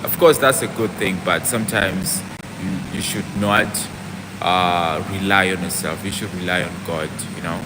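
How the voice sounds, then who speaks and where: A young man talks casually on a fitness livestream, his voice sitting on the lower side. He keeps breaking off to answer viewer comments before picking his thought back up. A clear hum of air conditioning and passing vehicles runs underneath. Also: fairly even intonation, moderate pace. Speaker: fitness vlogger.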